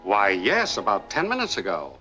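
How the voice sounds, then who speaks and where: Helpful clerk in a 40s noir, lowish bright voice, really up and down, faint music. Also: male voice, wildly swooping pitch, normal speed, exaggerated voice. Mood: helpful, puzzled. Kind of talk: 1940s film noir acting. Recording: old film soundtrack with faint music.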